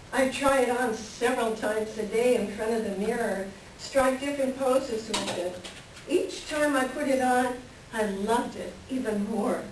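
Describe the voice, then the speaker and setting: A woman's voice: fairly high pitch, a little mellow. A woman playing a wistful, melancholy married woman in a staged play reading, with a reflective storytelling manner. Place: library reading before a microphone at some distance. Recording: very echoey room, distant mic, faint noise.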